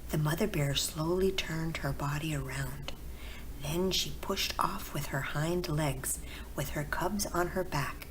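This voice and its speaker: A woman narrates a bedtime story in a low, soft, breathy voice. The reading is gentle and very unhurried. Clear background noise sits under the recording. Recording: clearly noisy background with ambience.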